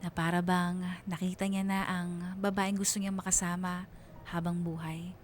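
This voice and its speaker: A woman's voice: on the low side, level tone, moderate pace, slight background noise, close to an everyday voice. An actress playing a wistful young woman confiding her love story in first-person radio drama narration. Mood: wistful, reflective.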